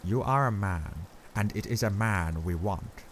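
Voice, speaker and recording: A man voicing an older, grave and kindly foreign professor who speaks in halting, odd word order. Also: sits low, slight background noise.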